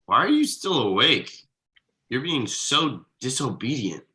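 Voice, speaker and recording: A man's voice: medium pitch, sing-song, measured pace, sharp tone. A man playing a testy, sarcastic father in a sitcom table read, dry and needling. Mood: indignant, sarcastic. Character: testy, sarcastic husband and father of a small girl.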